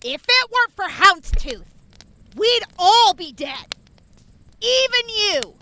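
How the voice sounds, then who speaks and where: A woman's voice: very high voice, very animated, takes it slowly, sharp tone, no echo, heavily acted. An actress playing a brash, blunt young woman in a fan audio drama. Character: Shoban, brash young woman, mouthy fighter.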